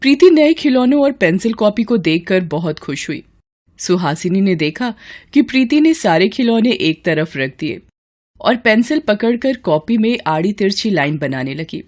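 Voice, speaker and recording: Female storyteller, scripted narration, expressive, mid-pitched, highly animated intonation. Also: moderate pace.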